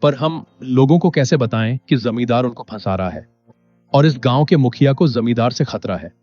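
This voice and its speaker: Guy as humble honest farmer, mid pitch, earnest n simple, cartoon story, music under it. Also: expressive, normal speed, lightly acted. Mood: worried, dutiful. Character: humble, honest, innocent poor male farmer. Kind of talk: Hindi animated moral story acting. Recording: dull lo-fi audio.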